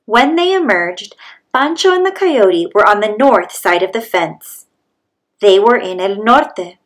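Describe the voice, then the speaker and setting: A woman's voice: mid-range voice, animated, a little slow, fairly bright tone. A woman reading a children's story aloud as a storybook narrator. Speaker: storybook reader.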